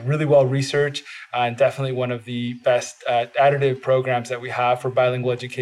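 A man whose first language is Spanish, talking earnestly as a podcast guest in a studio, with frequent "uh" fillers. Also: mid-range voice. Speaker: podcast guest, bilingual education advocate. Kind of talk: casual interview talk.

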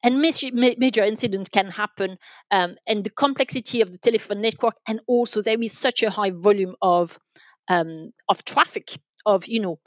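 A woman explaining things as an expert guest in a podcast interview, haltingly, often repeating and rephrasing her phrases. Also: mid-range voice, dry recording, lo-fi sound. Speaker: guest, enterprise sales manager.

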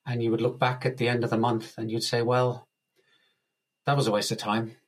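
A man being interviewed as a guest author, talking in a relaxed, easygoing way and chuckling now and then. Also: mid-range voice, normal speed. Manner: relaxed, chuckles often, uses analogies. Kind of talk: casual interview talk.

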